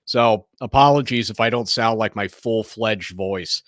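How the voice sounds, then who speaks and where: A man hosting a sports show, giving casual, opinionated commentary in a slightly hoarse, raspy voice, rambling and often restarting his sentences. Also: on the low side, a little slow, fairly bright tone, dry recording, studio quality.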